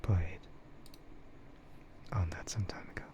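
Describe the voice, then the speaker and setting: A man softly whispering an unhurried, rambling ASMR livestream chat in a breathy voice, close to the microphone. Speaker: livestreamer and content creator.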